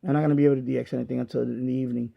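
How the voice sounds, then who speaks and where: A middle-aged man giving a casual, unscripted product review as a hobbyist, thinking aloud in a halting, repetitive way. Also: mid-range voice, little pitch movement, creaky, muffled recording, crowd noise. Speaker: radio hobbyist reviewing a portable radio.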